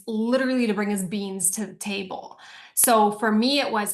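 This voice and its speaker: Casting: Croatian-born woman, company co-founder, persuasive pitch over video call. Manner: energetic, earnest, persuasive.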